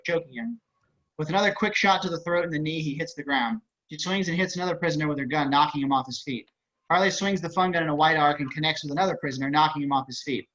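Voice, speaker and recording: A man's voice: on the high side, bright, forceful tone. A man narrating stage directions at an online table read, with wry, casual asides. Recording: dull video-call audio.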